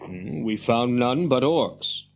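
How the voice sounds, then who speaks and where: An actor playing a stern, wary captain of horsemen in a fantasy radio drama, speaking formally and with measure. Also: male voice, mid-range voice, expressive, takes it slowly, vocal fry, slight background noise. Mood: wary, solemn. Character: stern, wary horse-lord captain, loyal to his king.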